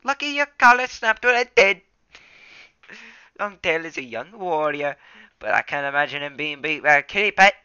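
Man reader as sneering young tom warrior, high and bright, dull lo-fi sound. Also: animated, normal speed, smooth, no room sound. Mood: scornful. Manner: scornful, mocking. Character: sneering scornful tomcat warrior, young male. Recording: dull lo-fi recording.

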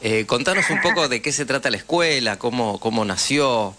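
A man with a Rioplatense Argentine accent hosting a radio interview, forming his questions haltingly and repeating words as he goes. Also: mid-range voice, expressive, pushed and bright. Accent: Rioplatense Argentine Spanish.

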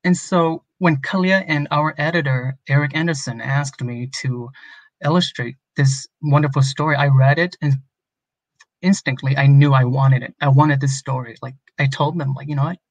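A man's voice: medium pitch, fairly even intonation, normal speed, no echo, low-quality recording. A man in his thirties from Minnesota, an illustrator, speaking earnestly and openly on a video call. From Minnesota, USA. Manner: earnest, open, personal. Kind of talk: conversational guest talk. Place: home room.

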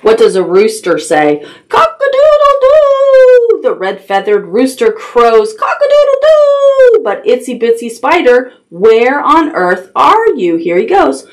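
A middle-aged woman reads picture books to toddlers with very lively pitch movement. She takes her time, stopping to ask simple questions and point things out in an expressive storytime manner. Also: unhurried, few pauses. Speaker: children's library storytime host. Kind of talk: read-aloud picture-book storytelling with animated asides. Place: small decorated indoor room with puppets.

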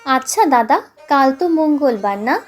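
A woman's voice: high voice, animated, a little slow. A woman voicing a poor, hopeful, easily hurt little village boy in an audiobook story, with music underneath. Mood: hopeful, curious. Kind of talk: audiobook character voice.

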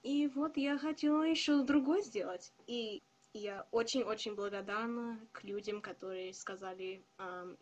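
A young woman who is not a native speaker, talking to her viewers in a careful, hesitant way with many pauses. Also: mid-range voice, highs cut off. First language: not Russian. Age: young adult. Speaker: vlogger. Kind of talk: casual video address to viewers.

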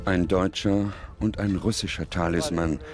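A man reads scripted documentary narration in a measured, serious manner. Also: low voice, steady tone. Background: music.

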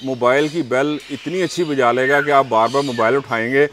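A man from Pakistan who breeds parrots, answering interview questions calmly and explaining at length with examples. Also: medium pitch, moderate pace, a little hiss or hum.

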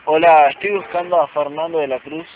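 A man's voice: a little high, fairly even intonation, fairly quick, natural voice. An amateur man actor playing a suspicious man seeking the truth about his brother, with an Argentine accent, in a radio play. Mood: insistent. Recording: noisy, muffled amateur radio drama recording.

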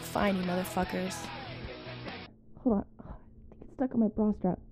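A woman's voice: medium pitch, measured pace, over music, lightly acted, poor audio. A woman playing a sassy, vain, sarcastic teenage girl in an amateur comedy machinima.

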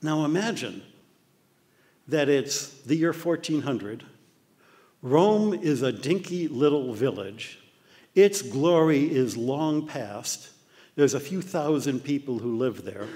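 An older man with an American accent giving an animated illustrated lecture, often putting on mock voices. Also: mid-range voice, takes it slowly. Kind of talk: illustrated talk. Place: dark lecture hall with projector screen. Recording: long hall reverb.

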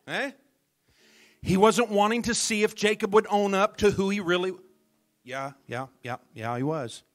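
A man's voice: sits high, moderate pace, fairly bright tone, soft background music. A man preaching a sermon as minister at a church service, dramatic, dropping his voice to near whispers for emphasis and calling out to the congregation. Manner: calls out to the congregation.

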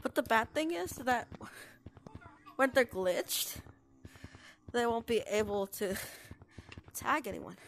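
Young female game tutorial voice, a little high, chatty and step-by-step, restarting sentences as she goes. She talks into a headset with a faint hiss behind her. Casual and unscripted. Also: animated, slow pace, pauses often. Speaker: gaming tutorial narrator. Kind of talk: casual gameplay commentary.

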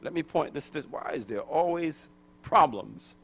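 A man's voice: a little high, animated, a little slow, fairly bright tone, slightly rough. A middle-aged man from Brooklyn, New York, preaching a sermon into a handheld microphone in a church, repeating phrases and calling for answers from the congregation. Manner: call-and-response preaching, repeats phrases, rising to emphatic. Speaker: guest preacher.

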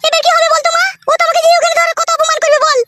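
A performer as very shrill pleading young wife. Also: level tone, very fast, very noisy. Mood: worried, defensive. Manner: whiny, imploring. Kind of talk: comedy cartoon dialogue.

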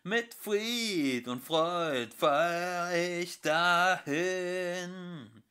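A man's voice: high-pitched, pushed and bright, smooth, pitched above the performer's own voice, exaggerated voice. A man doing a nasal, chirpy singing ship computer. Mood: wailing song. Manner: drawn-out, slowed singing lines. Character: overcheerful male ship computer singing hymns.

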